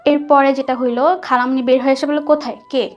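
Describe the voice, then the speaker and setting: High-pitched Bangladeshi woman storyteller. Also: level tone, a little fast, dull audio. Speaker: guest telling a personal story. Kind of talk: conversational personal storytelling. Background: soft background music.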